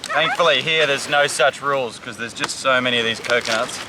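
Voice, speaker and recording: A man's voice: mid-range voice, brisk, noisy background. A young man from Samoa, an enthusiastic survival vlog host explaining foraged food outdoors on a tropical island beach. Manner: upbeat, enthusiastic tour-guide talk.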